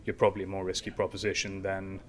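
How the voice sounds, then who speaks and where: Male founder as interview guest, flat delivery, casual explainer, faint noise behind. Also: low voice, fast. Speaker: guest, tech company founder.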